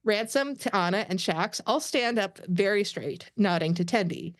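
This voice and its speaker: A woman narrates screen directions at a table read. Her voice is mid-pitched, recorded close and dry with little room sound. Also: expressive, unhurried, no echo. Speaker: narrator reading stage directions.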